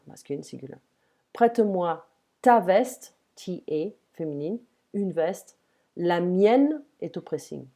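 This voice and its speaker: Slow, mellow tutor woman. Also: on the low side. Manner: patient, explains in English, models French words slowly. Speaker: French tutor. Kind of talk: one-on-one language lesson, explaining grammar.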